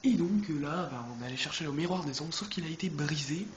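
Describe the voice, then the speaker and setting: Brief: man, bit high-pitched, congested from a cold, rambling let's-play chatter, roomy noisy home recording. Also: fast talker. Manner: rambling, self-correcting, chatty. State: stuffy with a head cold. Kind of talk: casual gameplay commentary. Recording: echoey room with background noise.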